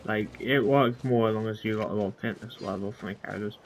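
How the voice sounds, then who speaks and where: A man giving casual, rambling, halting commentary on the game he is playing. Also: fairly low pitch, steady tone. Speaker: gamer commentating on his play. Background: game music.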